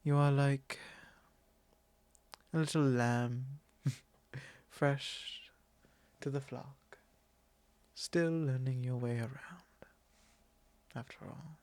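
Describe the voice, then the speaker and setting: A man's voice: medium pitch, steady tone, no room sound. A man playing a possessive, courtly vampire lord in an ASMR roleplay, speaking formally and condescendingly in an intimate monologue. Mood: genteel, indulgent. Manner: formal, unhurried, condescending, with soft chuckles.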